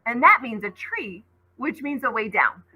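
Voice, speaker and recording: A woman's voice: a little high, sing-song, a little slow, pushed and bright, breathy edge, pitched above the performer's own voice, heavily acted, rough recording. A woman voicing a grumpy but brave girl who speaks firmly and matter-of-factly. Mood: determined. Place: classroom.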